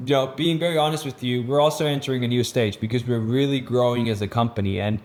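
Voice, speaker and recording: A man's voice: medium pitch, steady tone, quick pace, a little mellow. Earnest young man giving a business training. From Netherlands. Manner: earnest, motivational, with frequent restarts and fillers. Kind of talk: casual vlog intro, then presentation-style training.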